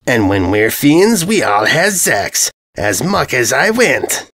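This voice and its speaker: A man's voice: medium pitch, big pitch swings, a bit bright, exaggerated voice. A man voicing a crude, boastful, dim-witted invader in spiked armour, speaking childish broken grammar in a storybook reading. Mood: gloating. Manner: childish broken grammar, slow gloating delivery.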